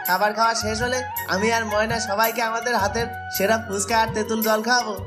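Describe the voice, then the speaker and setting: A man's voice: high-pitched, flat delivery, fairly quick, music in the background, lightly acted. A man voicing an eager, helpful young food seller in a fairy-tale cartoon.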